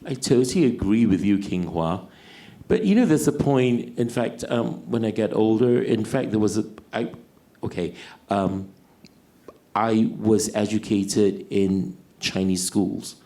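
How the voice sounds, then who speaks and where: A middle-aged man with a Singaporean English accent, speaking on a panel in a reflective, hesitant way with many pauses. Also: lowish voice, mellow. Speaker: fashion designer, panelist. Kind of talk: panel discussion.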